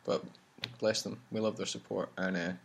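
A man's voice: fairly low pitch, fairly even intonation, moderate pace, gravelly, a little hiss or hum. A man hosting a casual chat about his campaign travels, talking in a rambling way with many restarts. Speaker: campaign leader and vlog host.

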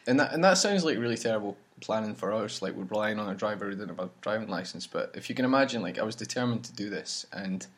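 A man's voice: lowish voice, animated, quick pace, few pauses, fairly bright tone. A man hosting a casual chat about his campaign travels, talking in a rambling way with many restarts. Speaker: campaign leader and vlog host.

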